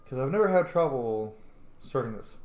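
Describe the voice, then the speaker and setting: A man's voice: medium pitch, lively intonation, normal speed, darkish tone. A man giving casual gameplay commentary, thinking aloud and muttering to himself as he goes. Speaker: let's play host. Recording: roomy echo, faint background noise.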